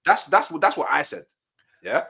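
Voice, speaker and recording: A man's voice: a little high, very animated, normal speed, gravelly, sharp tone, breathy edge. A man arguing defensively in a heated football debate on a livestream, often repeating himself when pressed. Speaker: football fan panelist.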